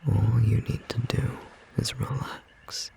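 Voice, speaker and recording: A performer playing a tender, devoted magical bookstore clerk in an intimate ASMR romance roleplay, speaking in a gentle, slightly rough, breathy whisper with long pauses. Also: mid-range voice, fairly even intonation. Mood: tender, adoring.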